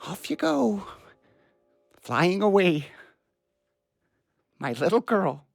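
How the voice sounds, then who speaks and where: A fretful middle-aged father in an audio drama. He is tender and halting, slow in pace, with pitch that moves widely, captured dry and close. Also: big pitch swings, creaky, no echo, faint music behind, full character voice. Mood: anxious, self-soothing. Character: anxious, doting father fretting over his grown daughter. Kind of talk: audio play acting.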